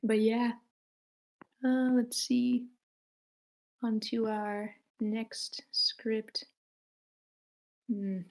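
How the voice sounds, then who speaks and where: A woman's voice: a little high, little pitch movement, drawn-out words, vocal fry, long pauses, rough recording. A woman hosting an online screenplay table read, speaking casually as she gives feedback and moderates.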